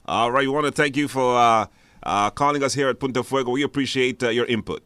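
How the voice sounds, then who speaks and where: A man hosts a live call-in radio show. His voice sits at an average pitch, close and dry. He talks informally and encouragingly, like a relaxed conversation with callers. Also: fast talker, smooth, dry recording. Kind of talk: casual live call-in hosting.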